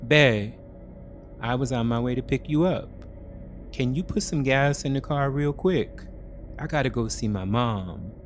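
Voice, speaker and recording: A man's voice: medium pitch, measured pace, soft background music, natural voice. Man playing an offhand, slangy young boyfriend. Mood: offhand, evasive. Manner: offhand, slangy, louder than the narration. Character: evasive, casual young boyfriend brushing off his girlfriend. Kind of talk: acted dialogue in an audio thriller.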